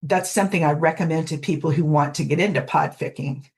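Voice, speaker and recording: A woman's voice: low-pitched, quick pace, darkish tone. A middle-aged woman chatting casually as an interview guest, explaining things in a reflective way. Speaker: guest podfic narrator.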